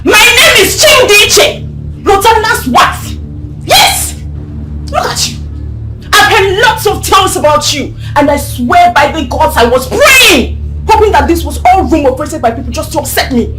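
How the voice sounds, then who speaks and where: Actress as jealous village wife, very high voice, shrill and mocking, loud score behind. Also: female voice, expressive, measured pace, loud music behind the voice, rough recording. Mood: scornful. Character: jealous village wife confronting her husband's lover. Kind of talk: melodrama acting.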